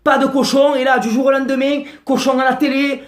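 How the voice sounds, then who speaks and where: A man's voice: very high-pitched, level tone, fairly quick. A man doing a slangy, exasperated comic rant to camera. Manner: slangy, exasperated, mock-outraged. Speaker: comic vlogger.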